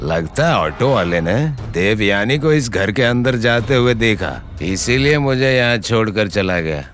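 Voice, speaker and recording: A man's voice: on the low side, expressive, unhurried, a bit bright, soft background music. A man voicing a pushy, desperate cartoon character who pleads insistently. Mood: puzzled. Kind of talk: cartoon voice acting.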